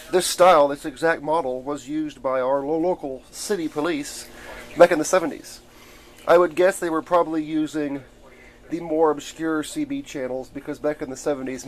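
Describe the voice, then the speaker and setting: A man giving a casual, rambling show-and-tell of his gadgets, explaining things informally as a hobbyist. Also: medium pitch. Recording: clearly noisy amateur camera audio.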